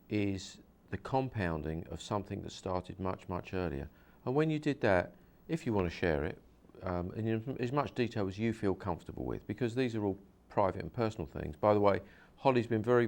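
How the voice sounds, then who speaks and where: Man, very deep voice, hypnosis practitioner, interviewer, earnest, repetitive explaining. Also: creaky, darkish tone.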